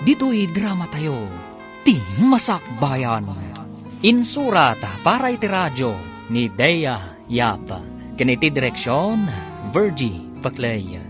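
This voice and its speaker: A man narrates a radio serial in a dramatic announcer's style. His mid-range voice moves expressively up and down, with music playing clearly behind him. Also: mid-range voice. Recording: music bed under the narration. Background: prominent theme music.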